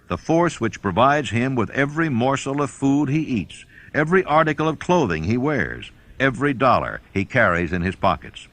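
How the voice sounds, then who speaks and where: A man reading a self-help audiobook as narrator, in a measured, instructional manner. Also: medium pitch, fairly bright tone.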